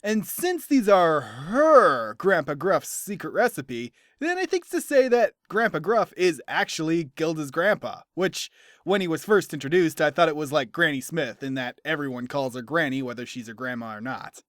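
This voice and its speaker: A man giving an enthusiastic, animated scripted review as a cartoon episode commentator, with instrumental music behind him. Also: a little high, moderate pace, no room sound, clean studio sound. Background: instrumental background music.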